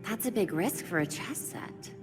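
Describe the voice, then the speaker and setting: Game heroine: actress as earnest young vigilante, highish expressive voice, reverberant space with music. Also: female voice, moderate pace, natural voice. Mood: questioning. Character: earnest young woman vigilante, self-critical computer expert. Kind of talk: video game cutscene acting. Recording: reverberant hall, music behind.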